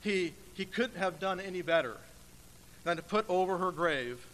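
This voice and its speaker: A man preaching a sermon in a church, speaking emphatically and deliberately with dramatic pauses. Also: high-pitched, animated, a bit bright. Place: church sanctuary, indoors. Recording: echoey church hall with faint noise.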